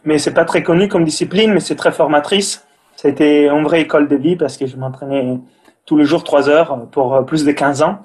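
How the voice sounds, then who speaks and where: A man's voice: fairly high pitch, steady tone, fairly quick, a little mellow, slightly rough. A man from Italy with an Italian accent, a breathing and cold exposure instructor, talking casually as a guest in an interview with many fillers and restarts. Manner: hesitant, many fillers and restarts, non-native French grammar.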